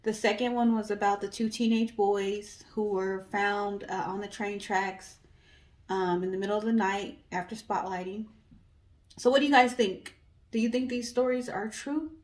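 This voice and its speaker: Woman cohost just casually telling stories, regular mid pitch, chatty conversational. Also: steady tone, moderate pace. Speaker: co-host of a storytelling guessing show.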